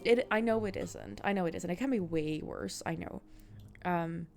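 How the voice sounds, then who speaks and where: A woman's voice: lowish voice, normal speed, gravelly, darkish tone, soft background music. A young woman with a Dutch accent, streaming a game and chatting casually with her viewers, often restarting sentences. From Netherlands. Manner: chatty, answers chat, frequent laughs and restarts. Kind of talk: casual chat with viewers while gaming.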